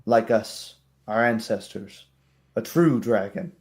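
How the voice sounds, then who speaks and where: Man voicing a slow, halting kobold female. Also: fairly low pitch, animated, a little mellow. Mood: halting, weary. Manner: halting, hesitant, punctuated with pondering hums. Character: wary, weary female kobold, duped and resentful of chief. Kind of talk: tabletop roleplay character voice.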